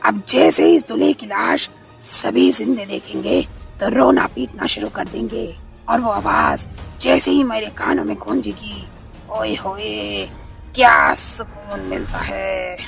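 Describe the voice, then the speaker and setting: A man voicing a cruel, bloodthirsty female witch in a cartoon horror story, taunting and gravelly. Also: high-pitched, sing-song, unhurried, fairly bright tone, soft background music, pitched above the performer's own voice, full character voice. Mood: menacing. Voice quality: gravelly, cackling. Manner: taunting, menacing, jeering.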